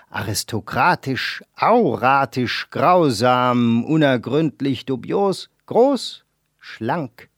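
A man reading a novel excerpt aloud with theatrical swings between loud bursts and hushed lines, then reviewing the book for the radio. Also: medium pitch, no room sound, clean studio sound.

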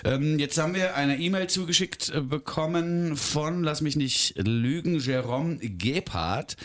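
Brief: male host, relaxed, joking, casual chat. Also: on the high side, a little slow. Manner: relaxed, joking, easy laugher. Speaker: podcast host.

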